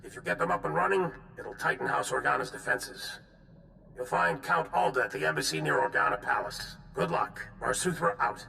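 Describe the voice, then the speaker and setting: Gravelly alien male general, bright forceful edge, clipped, grave, game cutscene, hologram transmission. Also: on the high side, moderate pace, echoey room, a little hiss or hum, exaggerated voice. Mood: resolute. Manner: clipped military delivery. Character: grave alien male Republic general, hard-bitten, pragmatic. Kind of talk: video game cutscene acting.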